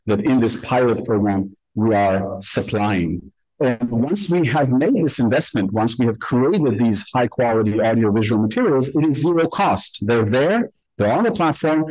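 A man giving an explanatory conference presentation, speaking in deliberate, emphatic phrases with rhetorical check-ins. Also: medium pitch, a little mellow, no echo, rough recording. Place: indoor conference room.

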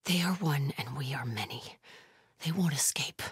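An actress playing a devout, stern, vigilant cult scout in a video game, speaking in a hushed, breathy, solemn way. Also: female voice, rumbling low, lively intonation, moderate pace, no echo, clean studio sound, full character voice. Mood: watchful. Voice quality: breathy, hushed, often whispery. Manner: solemn, guarded.

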